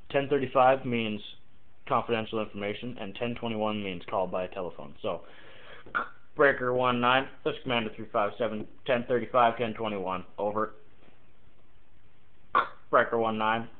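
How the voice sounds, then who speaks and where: Lowish guy voice on tinny phone-quality audio, casual tutorial, kinda halting, thinks out loud, does example radio callouts. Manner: informal, halting, thinking aloud. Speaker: amateur CB radio tutorial maker. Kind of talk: casual explainer with acted example radio calls.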